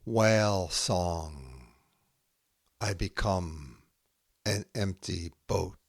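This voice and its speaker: A man reading short poems aloud in a measured way, setting each brief phrase apart with pauses. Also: sits low, animated, no room sound, clean studio sound. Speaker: podcast host reading poetry aloud. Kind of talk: poetry reading, haiku recited line by line.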